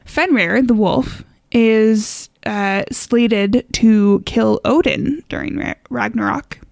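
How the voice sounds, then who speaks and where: Woman, podcast co-host, creaky voice, informal and wry, close dry sound. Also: fairly high pitch, slow pace, darkish tone, no echo. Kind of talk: casual chat.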